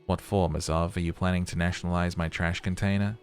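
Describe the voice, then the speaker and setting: A man's voice: sits low, flat delivery, a little fast, a little mellow, faint music behind. A man voicing a male hostel manager who is curt, sarcastic and easily irritated. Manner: curt and mocking.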